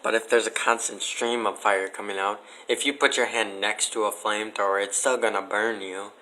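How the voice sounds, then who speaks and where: Sharp-voiced, skeptical man in casual debate. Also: fairly low pitch, little pitch movement, poor audio. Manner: skeptical, argumentative, halting. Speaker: podcast guest.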